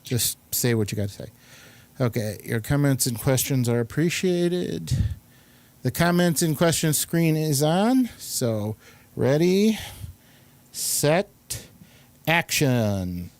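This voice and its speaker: A man's voice: a little high, animated, slow pace, darkish tone, no echo. A man hosting a talk show, chatting casually with a rambling, informal and complimentary manner. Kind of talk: casual studio chat, introducing guests.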